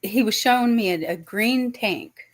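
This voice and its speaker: A woman from Virginia with a faint Southern accent, giving a gentle, tentative psychic reading in casual livestream chat. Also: on the high side, moderate pace. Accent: Southern US, subtle. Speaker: psychic medium host.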